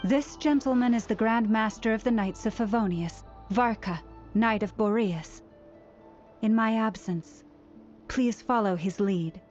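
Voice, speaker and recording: A woman playing a gentle, grave noblewoman who leads her people in a video game, speaking formally and deliberately. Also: a little high, lightly acted. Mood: solemn, resolute. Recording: dull game capture with music.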